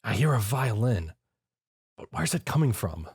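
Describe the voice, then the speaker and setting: A polite young man in an adventure story, voiced by a male reader. He sounds wry and hesitant, as if put upon. The pitch is medium. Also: sing-song, normal speed, slightly rough, exaggerated voice. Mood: puzzled.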